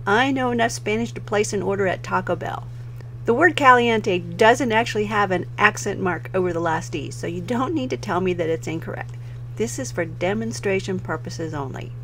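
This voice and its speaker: A woman with an American accent presents a software tutorial as a voice-over, explaining clearly step by step. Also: mid-range voice, normal speed, slight background noise. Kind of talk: instructional screen-recording narration.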